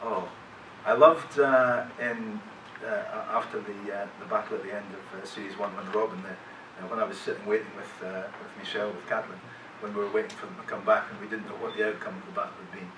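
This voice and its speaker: A man's voice: fairly low pitch, flat delivery, fast, hardly any pauses, background noise. Man, middle-aged, convention panel guest, chatty rambling anecdotes, distant mic, echoey hall. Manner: rambling, self-deprecating, lots of restarts and chuckles. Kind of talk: casual anecdotes to a live audience.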